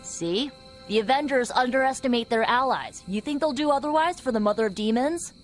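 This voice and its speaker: A woman's voice: on the high side, animated, brisk, faint music behind, close to an everyday voice. A woman acting a defensive, outspoken superhero in her twenties in a video game, with a blunt, challenging manner. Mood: wry, grudging. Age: 20s.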